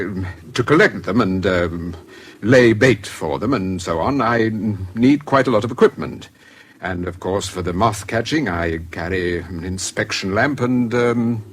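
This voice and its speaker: An actor playing a courteous, slightly hesitant English gentleman with a secret, in a British radio drama. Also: fairly low pitch, lively intonation, measured pace, breathy edge. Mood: genial, hesitant. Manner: polite, hesitant, slightly fussy.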